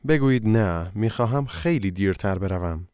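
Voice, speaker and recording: Brisk, rapid male course narrator. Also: lowish voice, lively intonation, a little mellow, clean tone, no room sound. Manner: brisk, directive prompting. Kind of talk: scripted instruction.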